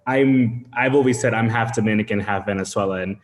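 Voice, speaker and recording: A man taking part in an online meetup, speaking in excited, heartfelt bursts. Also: fairly low pitch, fairly quick, in a large hall. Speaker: meetup participant. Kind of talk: casual group video chat.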